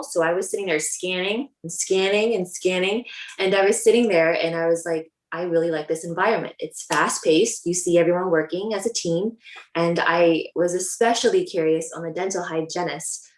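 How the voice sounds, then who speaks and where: A young woman from Canada, a dental hygienist, talking casually and upbeat with lots of ums. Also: lowish voice. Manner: chatty, upbeat, many ums. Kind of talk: casual talk to a live audience. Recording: echoey room.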